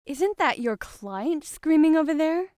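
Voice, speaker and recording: A woman's voice: high voice, expressive, a little slow, very noisy. A woman acting a composed, encouraging senior attorney in a game fandub. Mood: calm, curious.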